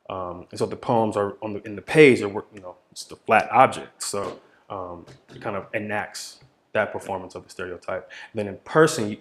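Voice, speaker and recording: A man speaking informally as a poet answering questions, thinking aloud haltingly and repeating phrases for emphasis. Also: fairly low pitch.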